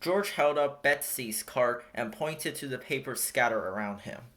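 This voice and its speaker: A storybook reader giving an expressive read-aloud narration for children. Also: medium pitch, a little slow, slight background noise.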